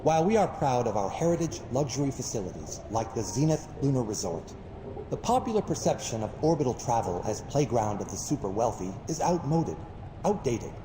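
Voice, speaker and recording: An actor playing a pompous company chief executive giving a grand, scripted promotional address in a video game. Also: male voice, medium pitch, big pitch swings, brisk, lightly acted. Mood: grandly confident. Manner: pompous, visionary speechmaking. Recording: echoing hall announcement with background noise.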